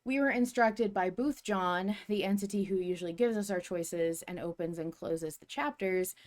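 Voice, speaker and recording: A woman hosting a podcast, chatting and explaining in an informal, playful, expressive way. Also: medium pitch. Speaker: podcast host. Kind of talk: casual fan chat and explanation.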